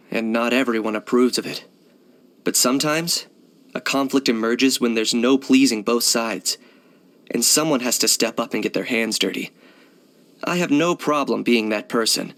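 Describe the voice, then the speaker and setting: An actor playing a cool, pragmatic young hunter for hire in a video game, deadpan, matter-of-fact and laconic. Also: male voice, mid-range voice, close to an everyday voice. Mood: dry, matter-of-fact. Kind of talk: video game character voice lines.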